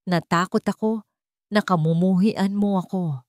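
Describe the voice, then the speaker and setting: Babaeng gumaganap na lalaking puno ng pagsisisi, nagmamakaawa. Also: male voice, high voice, a little slow, no echo, studio quality. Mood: anguished shouting. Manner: pleading, breaking voice. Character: anguished young man confessing a guilty secret.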